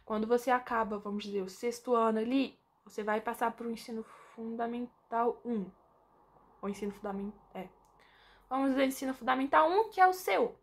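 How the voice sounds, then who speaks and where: A woman's voice: fairly high pitch, fairly quick. A young Brazilian woman with a Brazilian Portuguese accent, chatting casually to camera in an informal vlog with lots of fillers. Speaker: vlogger.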